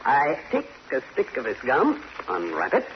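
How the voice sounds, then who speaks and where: A man's voice: fairly high pitch, huge pitch swings, unhurried, exaggerated voice. A wry, self-assured American newspaperman playing detective in a vintage radio mystery. His voice moves freely up and down as he teases and needles. The recording is muffled and noisy. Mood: sardonic, gleeful. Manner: wry, teasing. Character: wisecracking, self-assured sportswriter turned amateur sleuth. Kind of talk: old-time radio crime drama acting.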